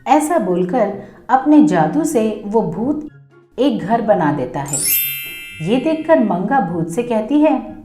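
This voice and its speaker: A woman narrates a children's story from a script at a slow pace. Her voice is a little high, with a roomy echo and soft music behind it. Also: big pitch swings.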